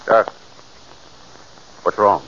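An actor playing a plain, obliging helper to a doctor in a 1940s radio drama, speaking simply and folksily. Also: male voice, very slow, pushed and bright. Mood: shocked. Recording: muffled old radio recording.

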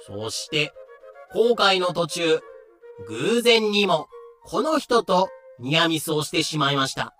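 A man's voice: a little high, very animated, takes it slowly, fairly bright tone, faint music behind. A man reading scripted narration for a voice drama.

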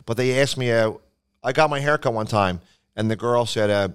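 Smooth-voiced Philadelphia man rambling. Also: mid-range voice, moderate pace. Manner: rambling, jokey, loses his train of thought. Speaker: podcast co-host. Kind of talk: casual chat.

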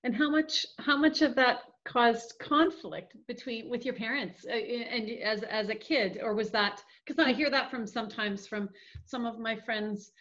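A woman's voice: a little high, a little slow, fairly bright tone. Woman podcast host, feeling her way through questions, bit muffled. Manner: searching, restarts often, many listening sounds. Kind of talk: interview questions. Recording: dull lo-fi sound.

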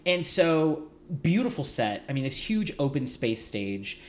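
A man hosting a podcast, telling stories in an animated, gossipy way with a slight lisp now and then. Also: mid-range voice, measured pace. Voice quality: light lisp at times. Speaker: podcast host. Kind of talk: casual chat.

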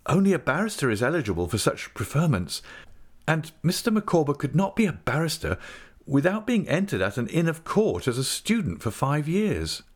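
A man's voice: a little high, very animated, normal speed, pitched above the performer's own voice. Man as a mild, careful young fellow. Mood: careful, emphatic. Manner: mild, careful, emphatic explaining. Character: mild, plain-speaking young male friend. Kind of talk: audiobook character acting.

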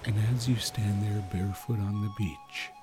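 A man narrating a guided sleep meditation in a soothing manner, leaving long pauses. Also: on the low side, steady tone, music in the background.

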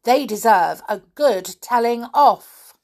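A woman's voice: mid-range voice, wildly swooping pitch, extremely slow, a bit bright, husky, full character voice. A woman voicing a cross nursery nanny in a children's story, indignant and scolding. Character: cross, puzzled adult female nursery nanny.

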